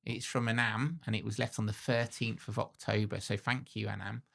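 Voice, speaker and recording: A man's voice: on the low side, fairly even intonation, moderate pace, gravelly, a bit bright. A man in his forties with a scratchy voice, co-hosting a podcast in a teasing, jokey way. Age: 40s. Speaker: podcast co-host. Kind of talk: casual chat.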